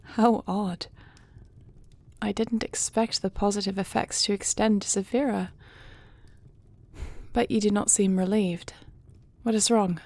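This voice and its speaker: A woman playing a dragon queen and devoted wife in an audio roleplay, speaking in a formal, measured, earnest way. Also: medium pitch, fairly even intonation, dark tone, no echo. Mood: puzzled, concerned.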